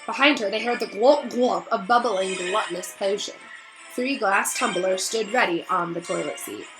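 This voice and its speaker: A woman reading a children's novel aloud in expressive storybook narration. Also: medium pitch, moderate pace. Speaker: storybook reader. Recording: roomy echo, faint music behind.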